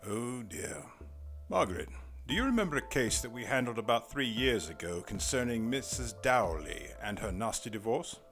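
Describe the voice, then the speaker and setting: A man playing a formal, courteous, grave investigator in an audio drama, with measured, old-fashioned wording. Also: medium pitch, big pitch swings, fairly bright tone, faint music behind. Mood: composed, polite.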